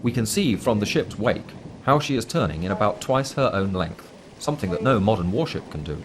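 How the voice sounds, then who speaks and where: Male narrator, low voice, animated intonation, formal scripted documentary delivery, measured phrasing. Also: noisy background. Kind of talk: scripted documentary commentary, read out.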